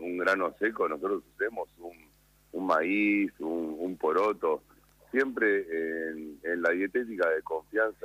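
Argentine male chef, 50s, low voice, relaxed explainer on a radio call. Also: dry recording, poor audio. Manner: calm, unhurried, explanatory. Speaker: chef guest. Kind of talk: radio interview by phone.

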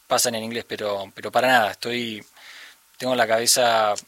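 A young man with an Argentine Spanish accent, a musician chatting thoughtfully in an interview with many pauses and restarts. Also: mid-range voice, level tone, fairly bright tone, no echo. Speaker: musician guest. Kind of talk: casual interview conversation.

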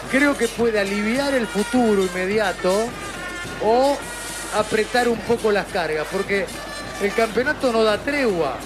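A man hosting a radio football broadcast, lively and bantering as he hands off to colleagues. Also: sits high. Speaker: radio play-by-play announcer and show host.

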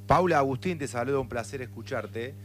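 A man with an Argentine accent, asking questions haltingly as a radio interviewer, with a conversational style. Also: medium pitch, a bit bright. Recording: faint hum and noise.

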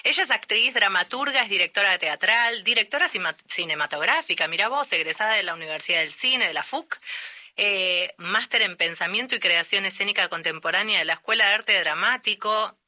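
A woman's voice: medium pitch, lively intonation, fairly quick, bright, forceful tone. A woman in her forties with a Rioplatense accent, hosting a radio show in a chatty, exclamatory way. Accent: Rioplatense Spanish. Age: 40s. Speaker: radio host. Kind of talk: casual radio chat.

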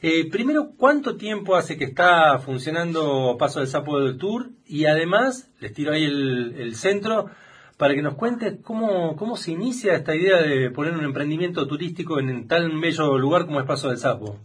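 A man's voice: fairly high pitch, lively intonation, moderate pace, husky. A man with an Argentine accent hosting a casual radio interview, asking long rambling questions with restarts. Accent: Argentine Spanish. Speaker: radio host.

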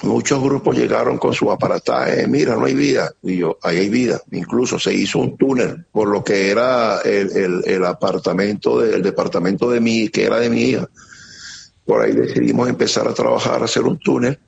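A middle-aged man with a Venezuelan accent giving an earnest, halting account as an interviewee, often repeating words. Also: mid-range voice, creaky. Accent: Venezuelan Spanish. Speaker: interviewee, security operator. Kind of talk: emotional testimony on a remote interview. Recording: muffled lo-fi line with faint noise.

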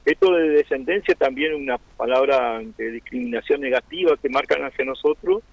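A man's voice: medium pitch, a little fast, creaky, no echo, poor audio. A man from Argentina with an Argentine Spanish accent, explaining things earnestly in a radio interview, with many fillers and restarts. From Argentina (Chubut). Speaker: interviewee, Mapuche-Tehuelche community member.

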